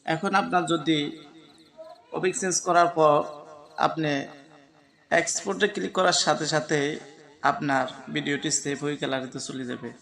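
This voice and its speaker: A man's voice: a little high, fairly even intonation, moderate pace, gravelly, long pauses, a bit bright. A man gives a spoken step-by-step app tutorial, addressing viewers directly as friends. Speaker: tutorial host.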